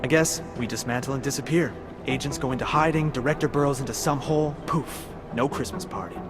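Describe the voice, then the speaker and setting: An actor playing an anxious, sardonic covert agency colleague in a video game cutscene, nervous and wry. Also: male voice, mid-range voice, brisk, over music, close to an everyday voice. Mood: wry, worried.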